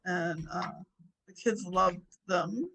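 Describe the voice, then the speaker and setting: Soft-voiced woman speaking slowly. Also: on the low side, low-quality recording. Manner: soft-voiced, slow and brief answers. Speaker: therapy client.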